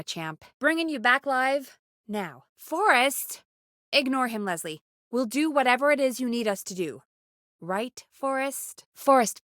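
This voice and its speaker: Game role: young female radio producer, high voice, anxious yet wry and caring, natural delivery, close dry sound. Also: fairly bright tone, no echo. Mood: urgent, encouraging. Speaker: actor voicing a radio producer in a video game. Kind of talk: naturalistic video game dialogue acting.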